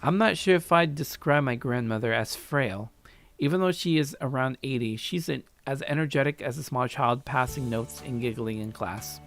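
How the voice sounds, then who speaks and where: A man narrating a first-person story aloud in an expressive way, now and then stumbling over his words. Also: mid-range voice, moderate pace. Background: faint music.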